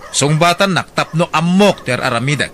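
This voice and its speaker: An actor playing a bossy, unfaithful husband in an Ilocano radio drama, with an Ilocano accent. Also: male voice, on the high side, lively intonation, normal speed, a bit bright, slight background noise. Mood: domineering, irritated. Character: domineering, unfaithful husband bossing his wife around.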